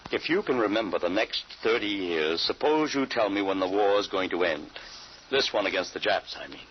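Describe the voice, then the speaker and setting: A man's voice: on the low side, moderate pace, lightly acted. A man playing a doubting, bewildered American father in an old radio drama, with a hesitant, incredulous way of speaking. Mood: puzzled, questioning. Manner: hesitant, incredulous, repeats words. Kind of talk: 1940s-50s radio drama acting. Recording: muffled, noisy old radio recording.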